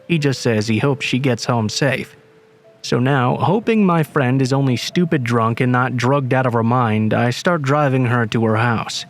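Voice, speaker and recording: A man reading a true horror story aloud in steady, measured scripted narration. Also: medium pitch. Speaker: narrator reading submitted stories. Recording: faint music underneath.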